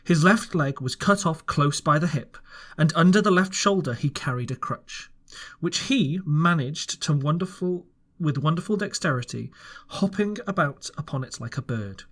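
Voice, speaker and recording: Male audiobook narrator, earnest first-person reading, lively melody, occasional self-corrections. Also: on the high side, normal speed. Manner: earnest, expressive, with small restarts. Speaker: audiobook reader.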